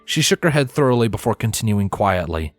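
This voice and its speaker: A man's voice: fairly low pitch, sing-song, brisk. A man reading a scripted story aloud as its narrator. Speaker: fan fiction narrator. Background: background music.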